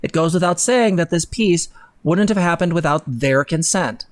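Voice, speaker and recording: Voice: mid-range voice, lively intonation, normal speed. A young adult livestream co-host indoors, reading an article aloud dramatically and breaking off to comment on it. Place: indoor room, livestream set-up.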